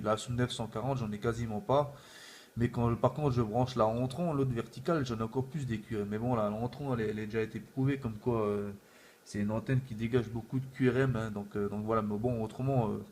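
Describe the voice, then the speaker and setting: A man's voice: fairly low pitch, a little mellow. A man chatting informally in a casual radio contact, with repeated filler tags. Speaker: amateur CB radio operator. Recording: faint background noise.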